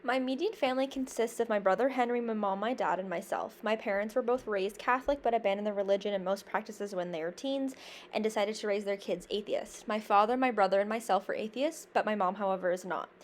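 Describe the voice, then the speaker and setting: A woman's voice: medium pitch, fast talker. A young woman from Canada, a university student, reading a prepared class presentation in an earnest, careful way, with small slips and restarts. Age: young adult.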